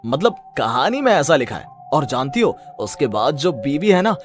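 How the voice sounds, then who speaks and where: A man plays an anxious young husband in a cartoon horror melodrama. His pitch is high and swings widely, over faint music. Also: very animated, moderate pace. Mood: casual, reassuring. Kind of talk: melodramatic cartoon story dialogue.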